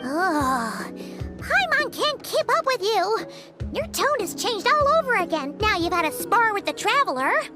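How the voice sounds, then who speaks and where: A tiny fairy-like girl sidekick from a video game. The pitch is sky-high and swoops with every exclamation. The temper is cheeky and blunt, over loud backing music. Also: big pitch swings, measured pace, exaggerated voice. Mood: exasperated. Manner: refers to self in third person, exclaiming.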